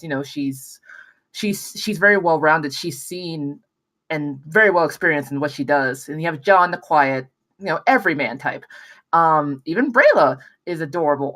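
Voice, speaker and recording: Woman giving halting, rambling feedback. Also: lowish voice, a bit bright. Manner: halting, rambling delivery with frequent pauses. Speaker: voice actor giving script feedback. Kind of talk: informal spoken feedback on a script.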